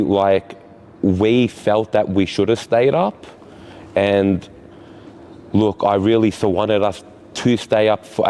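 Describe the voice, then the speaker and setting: A man, a futsal goalkeeper being interviewed, talking in halting bursts that lean on "like" and often restart. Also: on the low side, warm tone, slight background noise. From Australia. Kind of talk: post-season player interview.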